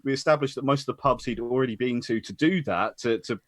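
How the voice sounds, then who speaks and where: A man's voice: medium pitch, fast, a bit bright. A British man hosting a casual chat, reminiscing in a rambling, stop-start way that repeats phrases. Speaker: host of an online tribute discussion.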